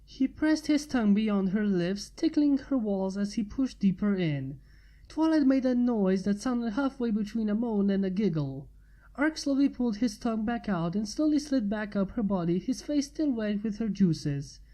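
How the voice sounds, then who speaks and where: A woman's voice: medium pitch, lively intonation, normal speed, warm tone, rough recording. A woman reading fan fiction aloud as a narrator.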